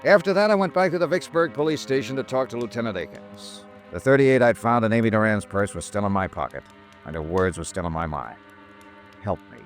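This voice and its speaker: Man acting low, lively-pitched American private investigator, hardboiled, weary, 1950s radio drama, music behind. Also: a little fast, natural voice. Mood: grim narration. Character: hardboiled insurance investigator, weary, with a soft spot. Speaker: lead actor and first-person narrator.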